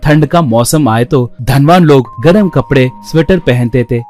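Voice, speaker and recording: A man's voice: medium pitch, measured pace, a little mellow, soft background music. A man narrating a scripted children's story.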